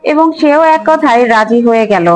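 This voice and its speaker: Female storyteller for cartoon fairy tale: high voice, scripted narration, faint plucked-string music underneath. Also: moderate pace.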